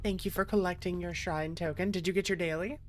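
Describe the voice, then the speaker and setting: Lowish-voiced woman streaming a game. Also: steady tone, fairly quick, faint music behind. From American Midwest. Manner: chatty, reacting aloud. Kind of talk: casual gameplay commentary.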